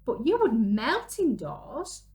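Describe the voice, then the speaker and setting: A woman plays an affectionate little boy from a children's storybook. He is earnest and wide-eyed, with a highish, expressive voice that readily rises into exclamation. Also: takes it slowly, heavily acted. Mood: wistful.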